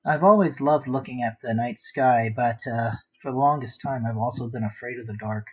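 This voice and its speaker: A man with a husky, mid-pitched voice hosts a casual art stream. He rambles and mutters half to himself as he sketches and chats with viewers. The audio is a little dull and lo-fi. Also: moderate pace. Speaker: art livestream host. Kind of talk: casual chat while sketching.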